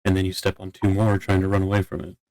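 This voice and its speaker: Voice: sits low, completely flat delivery, fast talker, a little mellow, no room sound. A person talking casually in a roleplay discussion, explaining things in a plain-spoken way. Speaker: role-player in a court meeting.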